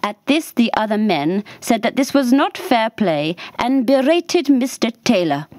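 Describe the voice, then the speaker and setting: A woman narrating a scripted children's story for radio in a measured, deliberate storybook manner. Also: fairly high pitch, very animated, a little mellow, no echo.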